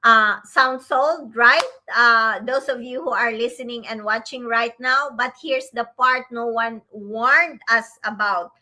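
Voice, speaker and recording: A woman from the Philippines, a grandmother, co-hosting a casual online talk show in a slow, earnest way with many fillers. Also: fairly high pitch, bright, forceful tone, slight background noise, poor audio. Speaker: co-host.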